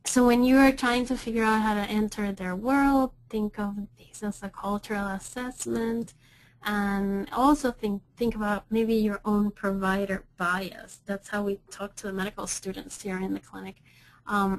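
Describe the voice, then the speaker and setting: A woman's voice: medium pitch, low-quality recording. A woman clinical educator presenting a webinar in a conversational way, with frequent ums and self-corrections.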